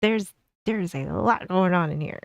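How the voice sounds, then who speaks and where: Woman streamer reacting to a vid, gravelly voice, keeps trailing off and restarting, dry mic. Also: lowish voice, animated, a little slow, no echo, dull audio. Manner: casual, trailing off and restarting words. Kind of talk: casual reaction chat.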